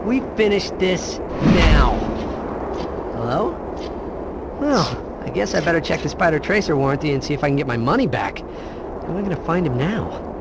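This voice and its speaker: An actor playing a wisecracking young masked superhero in a video game, quippy and sarcastic. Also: male voice, a little high, sing-song, normal speed, heavy background noise. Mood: wry. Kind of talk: video game character acting. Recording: loud music under the voice.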